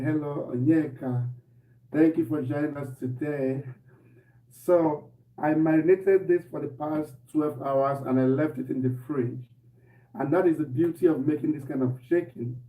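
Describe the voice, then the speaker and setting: Casual male cooking host, slightly hoarse, somewhat high voice, echoey kitchen stream. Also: distant mic. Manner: relaxed, repeats himself, greets viewers by name. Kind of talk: live-stream cooking demo, chatting to viewers. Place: home kitchen. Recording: echoey room, voice off-mic, faint noise.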